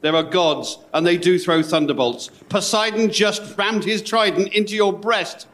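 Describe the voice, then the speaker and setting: Male reader, slightly high voice, dramatic delivery of short poetic lines. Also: moderate pace, fairly bright tone. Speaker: live event reader.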